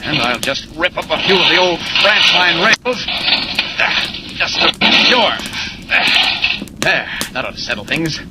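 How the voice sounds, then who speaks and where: A man acting a confident superhero in brisk, theatrical 1940s radio style, on an old noisy broadcast recording. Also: on the high side, animated. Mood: busy, determined. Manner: brisk, assured, theatrical delivery. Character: confident male superhero who doubles as mild reporter.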